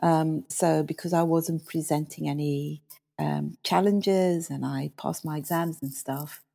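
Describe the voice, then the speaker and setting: Low, gravelly-voiced woman telling her story. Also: fairly even intonation, warm tone. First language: Afrikaans. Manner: thoughtful, measured, often pauses on fillers. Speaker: guest, former school leader. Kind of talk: reflective interview storytelling.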